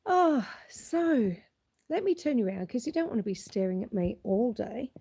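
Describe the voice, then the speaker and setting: A middle-aged Australian woman with a husky, hoarse voice from a cold, chatting casually as she greets viewers on a live stream from her homestead, filming on her phone outdoors. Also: a little high, big pitch swings, normal speed, mellow. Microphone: phone camera.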